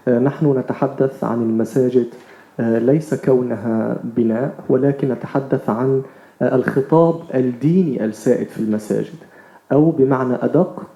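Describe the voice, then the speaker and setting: A man's voice: medium pitch, dark tone. A native Arabic-speaking man with an Arabic accent, giving formal remarks as a panelist. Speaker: conference panelist. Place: indoor conference panel. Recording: echoey conference room.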